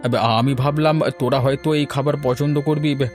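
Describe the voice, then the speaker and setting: A man's voice: medium pitch, fairly even intonation, moderate pace, darkish tone, soft background music, natural voice. A man voicing a meek, kindly family man in a moral-tale cartoon, earnest and a little hesitant. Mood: flustered.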